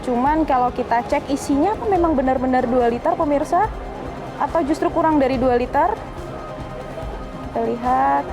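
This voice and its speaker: High-voiced woman reporting on location, music underneath. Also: normal speed, pauses often. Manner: conversational, explanatory, addressing viewers. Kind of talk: on-location investigative reporting, improvised to camera.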